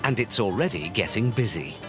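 Man narrating a documentary with lively pitch. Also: fairly quick. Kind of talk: scripted narration. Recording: music under the voice. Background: background music.

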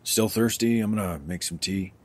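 A man's voice: on the low side, normal speed, a little mellow, lightly acted. An actor playing a wary, questioning man who keeps careful records, in an audio drama. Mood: guarded. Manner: questioning, guarded.

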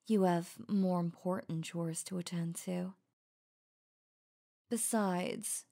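Slow, sultry werewolf huntress acted by a woman. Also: on the low side, dark tone, full character voice. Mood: seductive, commanding. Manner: slow, breathy, intimate, often dropping to whispers. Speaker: audio roleplay voice actor. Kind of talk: ASMR roleplay.

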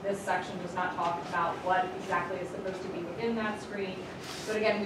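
A woman explaining ordinance changes at a township meeting in a measured way. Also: on the low side. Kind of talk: public meeting presentation. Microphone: far camera. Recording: echoey room from far off, noisy.

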